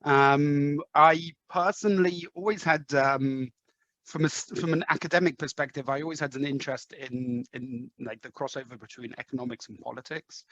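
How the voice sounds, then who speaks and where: A man's voice: medium pitch, a bit bright, muffled recording. A man from London with a London English accent, a podcast guest talking through his career with many ums and restarts. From London, England. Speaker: podcast guest, head of sales. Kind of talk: conversational interview answers.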